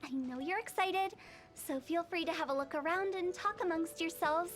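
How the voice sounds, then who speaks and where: Mischievous young funeral director. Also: female voice, very high voice, normal speed. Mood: guide-like. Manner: teasing, mischievous, laughs often. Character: playful young female funeral parlor director. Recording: game audio with music.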